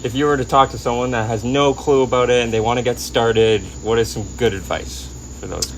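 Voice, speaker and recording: A man's voice: mid-range voice, steady tone, a little fast, background noise. A man hosting a casual conversational interview, informal and agreeable, often chiming in with short affirmations. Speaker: interview host.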